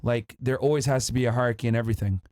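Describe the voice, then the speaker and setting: A man's voice: medium pitch, steady tone, very fast, darkish tone, dry recording, clean studio sound. A man hosting a casual debate, questioning his guest bluntly and cutting in to challenge her. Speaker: podcast host.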